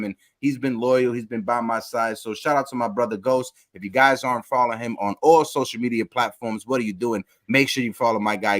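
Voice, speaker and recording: A man in his thirties hosting a casual sports talk livestream, giving upbeat shout-outs to viewers in the chat. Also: medium pitch. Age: 30s. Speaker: podcast host.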